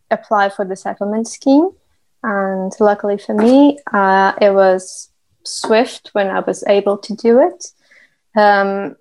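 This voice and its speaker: A woman from Portugal with a Portuguese accent, a stop-motion animator talking thoughtfully on a panel, pausing often with fillers. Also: mid-range voice, darkish tone. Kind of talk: panel discussion.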